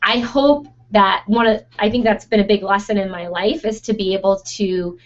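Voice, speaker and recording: A young woman who runs a photo studio, answering listener questions in a chatty, casual way with frequent fillers and laughs, her voice going hoarse. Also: medium pitch, steady tone, normal speed. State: losing her voice. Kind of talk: casual Q&A monologue. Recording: dull lo-fi sound.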